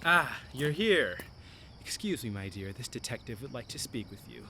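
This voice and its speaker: Animated smug gentleman. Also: mid-range voice, moderate pace, a little hiss or hum, full character voice. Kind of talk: radio play acting.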